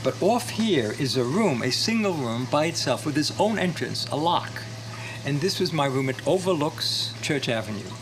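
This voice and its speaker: A man's voice: fairly high pitch, big pitch swings, normal speed. An older man revisiting his childhood home, reminiscing in a friendly, chatty way for a documentary. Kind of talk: documentary walk-and-talk. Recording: loud street and traffic noise.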